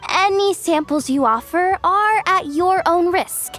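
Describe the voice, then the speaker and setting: A woman's voice: very high voice, a little slow, fairly bright tone. A woman playing a frazzled, earnest young female expo manager in a video game, flustered and stammering. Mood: cautioning. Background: music.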